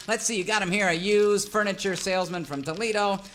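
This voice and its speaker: A man's voice: high-pitched, background noise, lightly acted. A man playing a crass, glib American movie producer who chuckles his way through a parody radio serial. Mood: gleefully pushy. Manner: chuckling, fast-talking, glib, dismissive. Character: breezy, crass male Hollywood movie producer.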